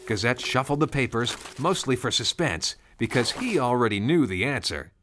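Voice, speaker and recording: A man narrating a scripted children's story in an expressive, conversational way. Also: medium pitch, normal speed, a little hiss or hum. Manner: conversational, expressive, rhetorical questions.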